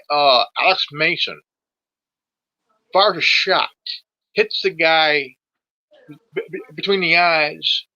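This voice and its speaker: A man's voice: high voice, vocal fry, bright, forceful tone, no echo, lo-fi sound. A man in his forties in a casual political discussion, sweary and emphatic, often stumbling and repeating his words. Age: 40s.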